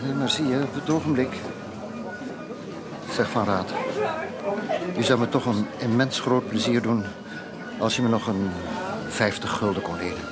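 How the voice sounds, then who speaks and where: Actor as a wheedling gentleman, music behind. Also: mid-range voice, normal speed, long pauses, natural voice. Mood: wheedling, embarrassed. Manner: polite, hesitant, ingratiating. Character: wheedling, hesitant gentleman borrower, chronically short of money. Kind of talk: Dutch radio drama acting. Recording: radio play with loud music behind.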